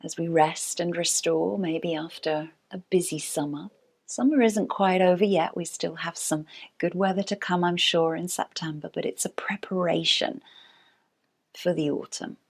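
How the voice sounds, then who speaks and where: A woman teaching restorative yoga, giving calm, unhurried guided relaxation in a soft, sometimes breathy voice. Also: on the low side. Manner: calm, unhurried, gentle pauses. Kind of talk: slow guided relaxation instruction.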